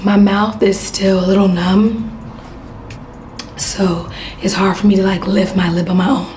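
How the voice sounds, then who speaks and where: A woman from Houston who has lost her voice, chatting to camera in a hoarse near-whisper as a beauty vlogger. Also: medium pitch, little pitch movement, unhurried, a little mellow, roomy sound, faint music behind. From Houston, Texas. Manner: chatty, addresses viewers as y'all. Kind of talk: casual vlog chat to camera. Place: hotel room, then bathroom.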